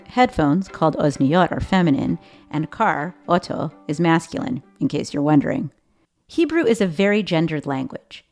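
A woman hosting a podcast, giving a clear scripted narration with light rhetorical questions. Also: lowish voice, darkish tone. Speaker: podcast host.

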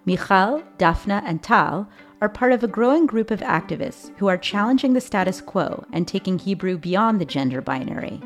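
A woman hosting a podcast, giving a clear scripted narration with light rhetorical questions. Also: medium pitch, lively intonation, normal speed, faint music behind. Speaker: podcast host.

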